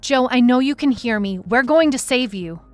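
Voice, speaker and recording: A woman's voice: a little high, lively intonation, normal speed, faint music behind, lightly acted. A woman playing a determined detective who narrates her own case in a scripted audio drama. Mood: urgent. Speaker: lead narrator.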